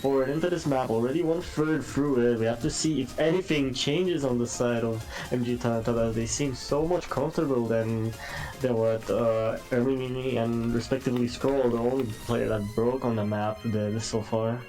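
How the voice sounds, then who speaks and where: A man's voice: medium pitch, fairly even intonation, normal speed, mellow, low-quality recording. A man co-commentates a live esports match in an analytical way. He stumbles now and then and leans on fillers. Faint game music sits under his voice.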